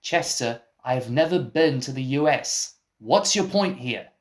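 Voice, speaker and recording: An exasperated male interviewer, acted by a man in a comic radio play. He speaks slowly and questions everything with dry disbelief, like someone trying to get sense out of a nonsensical guest. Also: medium pitch, animated, close to an everyday voice. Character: exasperated, baffled male interviewer and film producer. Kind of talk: scripted comic mock interview.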